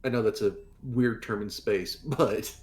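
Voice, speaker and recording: A man's voice: lowish voice, a little slow, a little mellow. A man casually discussing his next move as a player in a tabletop roleplaying game.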